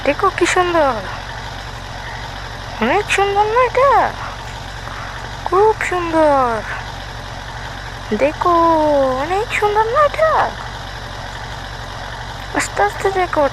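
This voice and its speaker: A woman's voice: very high-pitched, background noise. A woman giving casual game commentary for small children, in a childlike, repetitive, exclaiming patter. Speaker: gameplay video host.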